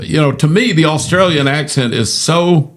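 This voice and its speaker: A man's voice: fairly high pitch, normal speed. A man chatting casually as an interview host, telling stories in a folksy, self-deprecating way. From United States South. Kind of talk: casual chat, storytelling.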